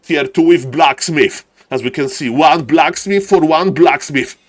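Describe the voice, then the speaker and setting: A man with a Polish accent doing live strategy game commentary and chatting to viewers, excitable and repeating phrases for emphasis. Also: on the high side, measured pace. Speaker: esports caster and live streamer.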